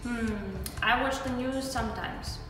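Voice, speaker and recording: A woman voicing a German interviewee who answers slowly with a thoughtful "hmm". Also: on the high side, fairly even intonation, lightly acted. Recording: echoey hall from far off, music behind.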